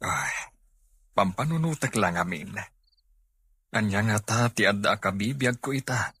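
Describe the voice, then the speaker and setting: Man acting husky, brooding older brother. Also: on the low side, lively intonation, unhurried, sharp tone, heavily acted. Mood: pensive, evasive. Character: troubled, brooding older brother and farm boss. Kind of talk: amateur Filipino audio drama acting.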